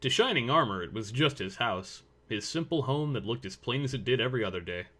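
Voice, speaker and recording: A man's voice: mid-range voice, expressive, a little fast, fairly bright tone. A man reading scripted story narration as the narrator.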